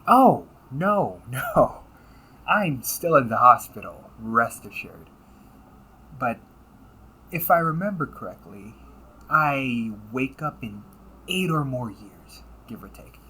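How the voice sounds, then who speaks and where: Man playing a smug villainous older brother, animated delivery. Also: medium pitch, slow pace, slight background noise, full character voice, low-quality recording. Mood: smug, exasperated. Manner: smug, taunting, theatrical, often drops to breathy near-whispers. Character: calm, cunning, condescending villain, the listener's older brother. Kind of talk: audio roleplay monologue addressed to the listener.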